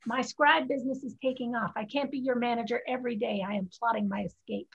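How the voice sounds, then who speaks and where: Woman reading cheeky young Chinese girl, husky. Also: on the high side, steady tone, fast talker, a bit bright, heavily acted. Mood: urgent, scheming. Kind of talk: staged play reading. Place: home room, video call. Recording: muffled video-call audio.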